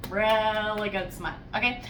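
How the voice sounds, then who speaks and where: A woman giving a casual, chatty book review, animated and playfully wry as she tells it. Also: mid-range voice, unhurried. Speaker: host of a book discussion podcast. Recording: roomy echo, distant mic, faint noise.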